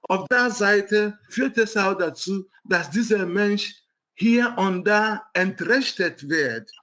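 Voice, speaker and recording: A man's voice: sits high, slow, bright, forceful tone. A man with a strong African accent and German not his first language, telling a personal story with many fillers and self-corrections. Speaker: guest. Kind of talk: personal story in a panel talk.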